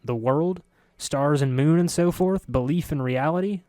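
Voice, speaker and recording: A man reading a story voices a curious, sharp-spoken middle-aged woman who talks in a quick, insistent way. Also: medium pitch, expressive, dry recording, clean studio sound, lightly acted. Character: curious sharp-spoken woman, neither young nor old, newcomer.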